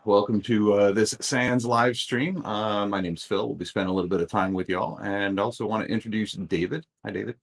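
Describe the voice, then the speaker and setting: Man, deep low voice, casual webinar host, chatty with fillers, video call mic. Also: fairly even intonation, brisk, vocal fry, few pauses. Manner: upbeat, chatty, many fillers. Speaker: live stream host and course author. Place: home office.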